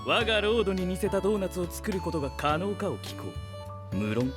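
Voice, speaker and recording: A man's voice: high voice, sing-song, brisk, music in the background. A man playing a haughty, exacting young researcher in an anime voice drama, speaking in stiff, pedantic, formal phrases. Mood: cool, exacting. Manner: stiff formal wording, pompous, clinical.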